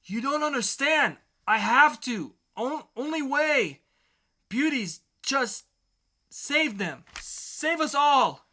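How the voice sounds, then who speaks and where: Desperate male zealot, acted by a man, comic-book drama, raised bright pitch, broken pleading delivery. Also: animated, slow, full character voice. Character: desperate cornered male fanatic, pleading, halting.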